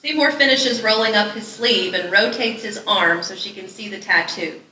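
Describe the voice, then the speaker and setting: Bright-voiced woman reading stage directions. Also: mid-range voice, moderate pace. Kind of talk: scripted narration at live table read. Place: live festival stage reading. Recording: echoey room, distant mic, noisy.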